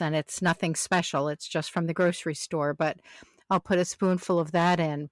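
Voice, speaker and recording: Lower-voiced woman hosting, close and dry. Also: no echo. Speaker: podcast host, interviewer. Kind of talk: casual podcast interview.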